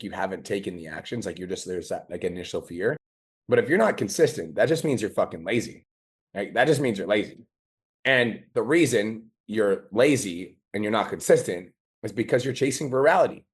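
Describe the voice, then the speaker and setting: A hoarse man with a raspy, lowish voice hosts his own podcast. He talks bluntly, swears constantly and mocks people's excuses in quoted voices, all in a profane motivational rant. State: hoarse from days of talking. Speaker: podcast host and business coach.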